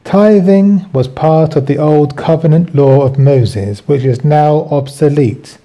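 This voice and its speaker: Slow-reading man with a very dark, mellow voice. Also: a little high. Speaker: Bible teacher. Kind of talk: scripted religious teaching, read aloud.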